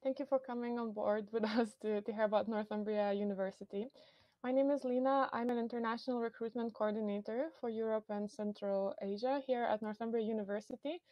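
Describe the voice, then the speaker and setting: A woman's voice: a little high, steady tone, fairly quick, no echo, muffled recording. Lithuanian-accented woman presenting a webinar. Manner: friendly, informative, with frequent fillers and restarts. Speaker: university recruitment coordinator. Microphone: computer mic on a video call.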